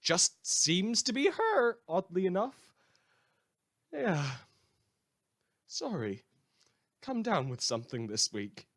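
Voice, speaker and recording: A man's voice: high voice, wildly swooping pitch, takes it slowly, long pauses, a bit bright, full character voice. A man playing a sardonic radio newsreader in a post-apocalyptic world, reading scripted satirical news with dry, rambling asides. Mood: apologetic, wry. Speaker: radio host.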